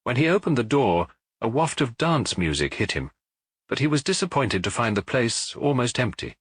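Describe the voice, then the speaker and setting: A man's voice: mid-range voice, big pitch swings, moderate pace, slightly rough, no room sound, clean studio sound. A man reading a detective story as scripted literary narration for an audiobook.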